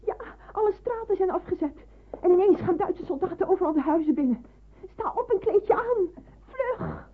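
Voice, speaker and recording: A woman's voice: extremely high pitch, lively intonation, moderate pace, noisy background. An actress playing a stern, practical middle-aged mother of a wartime household, firm and admonishing, in a radio play. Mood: urgent. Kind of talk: radio drama acting. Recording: tinny, narrow old radio recording.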